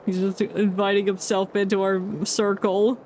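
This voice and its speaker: A woman's voice: medium pitch, little pitch movement, normal speed, a little mellow, slight background noise. A woman streaming a game with casual live commentary, tossing playful asides back at the characters.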